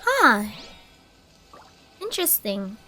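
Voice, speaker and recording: A young woman doing casual, chatty reaction commentary as she plays a game, very expressive. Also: high-pitched, slow. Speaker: gaming streamer. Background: soft game music.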